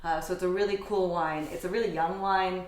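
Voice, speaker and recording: A woman's voice: fairly low pitch, fairly even intonation, brisk. A young adult woman from the United States, a guest chatting with enthusiasm in a casual interview. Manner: upbeat, enthusiastic. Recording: echoey room.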